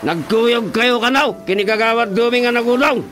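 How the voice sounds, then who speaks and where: A man's voice: very high-pitched, normal speed, a bit bright. A man acting a blustering, evasive husband in a radio drama, stammering and sputtering. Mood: bullying, suspicious. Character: blustering, evasive husband, cowed when confronted.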